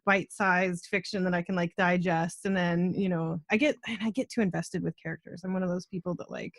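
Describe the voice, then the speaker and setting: A woman's voice: fairly low pitch, fairly even intonation, fairly quick, no room sound. A woman hosting a podcast interview in a casual, effusive, informal way, often saying "like" and "super". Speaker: podcast host interviewing a guest.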